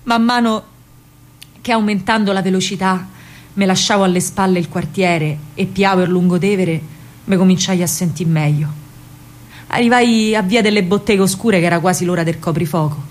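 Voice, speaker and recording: An actress playing a spirited, indignant working-class girl with a Roman accent, telling her memories in an expressive, colloquial theatrical monologue. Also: mid-range voice, normal speed, natural voice. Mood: wistful. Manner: colloquial, emphatic, expressive storytelling. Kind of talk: theatrical first-person monologue in dialect.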